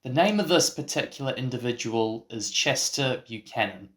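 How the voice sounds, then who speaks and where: A man, speaking in a formal, measured, dry way as he presents a scripted interview. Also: mid-range voice, lively intonation, fairly bright tone. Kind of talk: scripted interview in a radio play.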